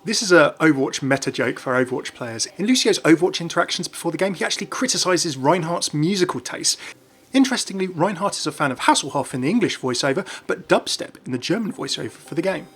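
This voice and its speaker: A man's voice: a little high, expressive, quick pace, a bit bright, husky. A man hosting a gaming video, reading scripted narration. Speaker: YouTube video host. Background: music.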